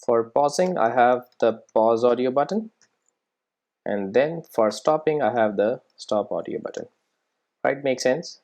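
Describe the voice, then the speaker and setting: A software tutorial presenter explaining things step by step in an informal way, often checking in with the listener. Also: medium pitch, expressive, a little slow.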